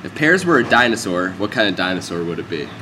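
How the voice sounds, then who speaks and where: A man's voice: low-pitched, sing-song, fast, pushed and bright, slight background noise. A man hosting a casual radio interview, asking playful, joking questions. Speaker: radio host.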